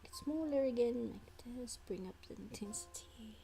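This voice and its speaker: A woman's voice: on the high side, moderate pace, gravelly. A young woman from South Africa with a South African accent, an Afrikaans speaker, chatting casually on stream while she draws and sometimes muttering to herself as she works. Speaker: artist streamer. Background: faint background music.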